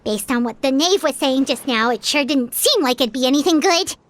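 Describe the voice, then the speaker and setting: A tiny, chatty floating companion from a game, childlike and quick to fret. The voice is extremely high and squeaky, and it talks about itself in the third person. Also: female voice, expressive. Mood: worried. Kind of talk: video game dialogue.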